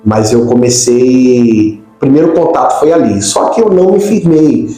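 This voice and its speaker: A man's voice: medium pitch, normal speed, mellow. A middle-aged Brazilian man from Rio de Janeiro state, a pastor explaining things conversationally as an interview guest. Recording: very echoey room, faint music.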